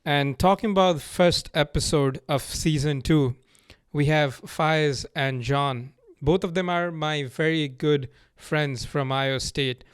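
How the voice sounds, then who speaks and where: A man hosting a podcast, talking in a relaxed, friendly way with frequent laughs. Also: on the high side, clear, resonant tone, no room sound, studio quality. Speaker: podcast host. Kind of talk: casual podcast chat.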